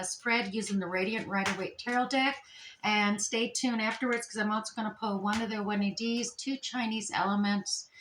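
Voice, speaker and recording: A woman's voice: medium pitch, a bit bright. A woman doing a chatty, meandering tarot reading while losing her voice, her throat strained. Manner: chatty, meandering, with many fillers and restarts. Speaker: tarot reader. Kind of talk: casual tarot reading to camera. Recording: echoey room with faint noise.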